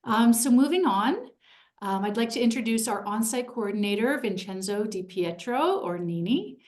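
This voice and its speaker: A woman's voice: mid-range voice, animated, moderate pace, darkish tone. Woman hosting an info session.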